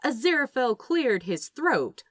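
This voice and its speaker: A woman's voice: high-pitched, very animated, a little slow, fairly bright tone, dry recording, clean studio sound. A woman reading a story aloud as a scripted narrator. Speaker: audiobook-style narrator of fan fiction.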